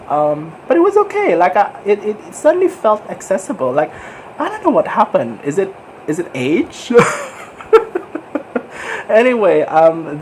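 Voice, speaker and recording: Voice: medium pitch, a little hiss or hum, rough recording. A book vlogger, chatting casually and rambling a little while nursing a cold, sniffling, with frequent small laughs. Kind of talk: casual book review chat.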